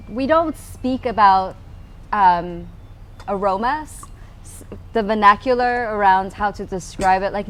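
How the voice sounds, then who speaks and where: Woman explaining stuff earnestly at a tea tasting, lots of um and you know, bit of room hum. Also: mid-range voice. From United States. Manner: earnest, explanatory, with frequent fillers. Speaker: co-founder of a taste and smell group. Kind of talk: casual conversation at a tasting. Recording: faint room noise.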